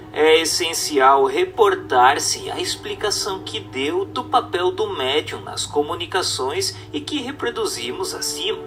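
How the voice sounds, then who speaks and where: A man reads a formal text aloud as scripted narration, in a measured, didactic manner. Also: on the high side, sharp tone. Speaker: narrator reading a book aloud. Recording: distant mic with music underneath. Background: music.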